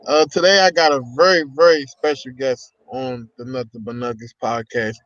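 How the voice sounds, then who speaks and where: A man's voice: medium pitch, fairly even intonation, moderate pace, a bit bright, dry recording. Upbeat male podcast host, dry dull sound. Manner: upbeat, hype-style welcomes with many fillers. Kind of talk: casual livestream podcast chat.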